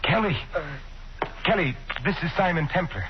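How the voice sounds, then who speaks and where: An actor playing a confident, clipped adventurer detective in a 1940s radio drama. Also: male voice, high voice, lively intonation, normal speed. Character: suave male adventurer detective. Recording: muffled old radio broadcast with hiss.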